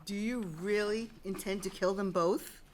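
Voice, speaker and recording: A woman's voice: mid-range voice, steady tone, measured pace, darkish tone, close to an everyday voice. A woman playing a solemn citizen of a Greek chorus, reciting in an incantatory way in a dramatic reading. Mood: grave.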